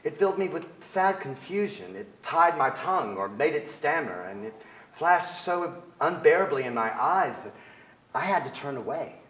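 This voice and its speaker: A man's voice: on the high side, sing-song, moderate pace, fairly bright tone. A man in his forties playing a sensitive, yearning writer confessing boyhood feelings in a theatrical staged reading on a large stage. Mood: yearning confession. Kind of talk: theatrical literary narration. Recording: echoey theater stage sound.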